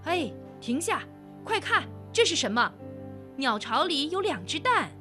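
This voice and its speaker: Woman playing practical workman, animated, over music. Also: medium pitch, unhurried. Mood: surprised, urgent. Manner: exclaiming, practical. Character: tall workman, alert, decisive, hearty with his mates.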